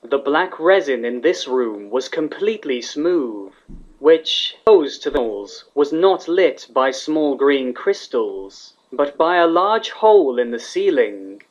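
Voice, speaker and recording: A man's voice: mid-range voice, big pitch swings, slow. A man reading fan fiction aloud as a scripted story narrator. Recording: dull, lo-fi sound.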